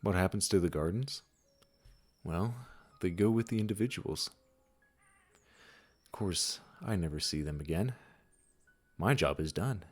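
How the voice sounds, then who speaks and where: A man playing a gentle, wise supernatural gardener in a comforting audio roleplay, speaking soothingly and reassuringly straight to the listener. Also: deep voice, soft background music. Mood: reflective, tender. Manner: soothing, unhurried, reassuring, with soft chuckles.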